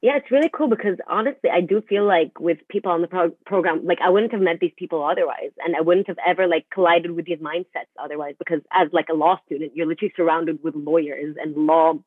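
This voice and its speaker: A young woman talks very quickly and enthusiastically as a student podcast guest. The sound is thin and phone-like, and she chats casually. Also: fairly low pitch, breathy edge, no room sound. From Middle East. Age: young adult.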